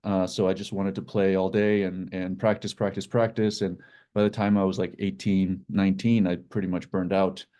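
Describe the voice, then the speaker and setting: Low-voiced man, hesitant speaker. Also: level tone, warm tone. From Iceland. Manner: hesitant, halting delivery with repeated words. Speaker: startup co-founder, guest. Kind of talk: informal explanatory talk about own work.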